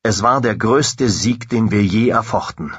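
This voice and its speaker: A loyal soldier of antiquity in an audio drama. His voice is low and husky, solemn and full of feeling for his king, recorded very dry. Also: measured pace, a bit bright, dry recording, dull audio, exaggerated voice. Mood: moved, proud. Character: devoted ancient soldier recalling his beloved king's victory.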